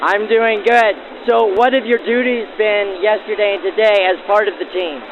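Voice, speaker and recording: A man's voice: high-pitched, steady tone, normal speed, dull audio, crowd noise. A man working as host and interviewer, presenting in the manner of a 1940s radio reporter. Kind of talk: scripted narration and field interviews backdated to 1940s radio. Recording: band-limited 1940s radio-style sound.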